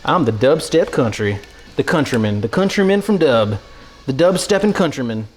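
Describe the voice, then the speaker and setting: A man putting on an American Southern country accent as a goofy, excitable countryman, rambling casually to a livestream chat in a folksy drawl. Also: mid-range voice, normal speed, soft background music, natural voice. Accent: put-on American country, Southern. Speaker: live streamer cooking for chat.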